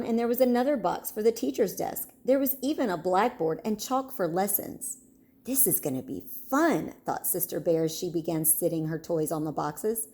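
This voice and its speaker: A woman narrating a children's storybook in an expressive, storytelling manner. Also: mid-range voice.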